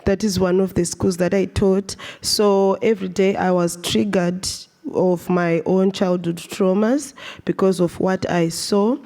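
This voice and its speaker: A woman's voice: on the low side, steady tone, measured pace, dark tone. A woman from Zimbabwe giving an earnest personal talk on stage at a live event, often restarting her sentences. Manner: earnest, with frequent restarts and fillers. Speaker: speaker at a live storytelling event.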